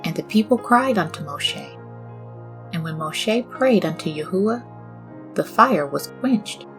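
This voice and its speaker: A woman hosting a devotional scripture reading, speaking in a reverent, deliberate and formal manner. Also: fairly low pitch, expressive. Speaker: host and scripture reader. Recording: music bed under the voice. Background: background music.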